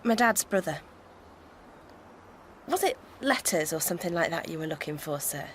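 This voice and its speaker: A woman's voice: sits low, animated, normal speed, slight background noise. An actress playing a friendly, plain-spoken young Victorian village woman in a radio drama, simple and chatty. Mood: candid, helpful.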